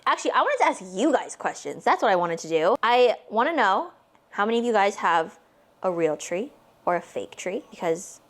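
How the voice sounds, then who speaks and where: A woman's voice: medium pitch, sing-song, normal speed, a bit bright. A woman in her late twenties from Canada, chatting casually in a rambling way, filling her talk with "like" as she vlogs while baking. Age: 20s. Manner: rambling, heavy use of "like"; talks with her hands. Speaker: vlogger.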